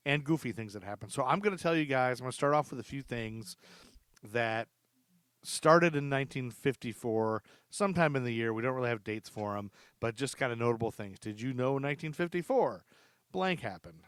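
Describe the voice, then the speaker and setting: A man's voice: medium pitch, lively intonation, a little fast, a bit bright, smooth, no echo. A man hosting a casual history podcast, chatty and rambling, laughing often. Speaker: podcast host. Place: lives in Charlotte.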